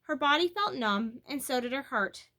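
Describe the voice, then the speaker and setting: A woman reads a children's storybook aloud. Her voice is bright and sits a little high. She delivers the narration expressively, with animated emphasis. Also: normal speed. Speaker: storybook reader.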